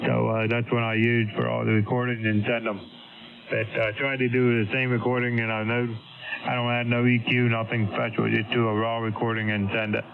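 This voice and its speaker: A man's voice: medium pitch, flat delivery, brisk, vocal fry. A man on a ham radio link, chatting casually and rambling with lots of fillers and repeats. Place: home radio shack. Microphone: boom mic on an arm, keyed by foot pedal. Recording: tinny noisy radio signal.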